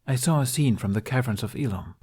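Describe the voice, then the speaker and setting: A man's voice: mid-range voice, expressive, fairly quick, darkish tone, breathy edge, pitched above the performer's own voice. A man voicing an earnest, nervous young male apprentice recounting a vision.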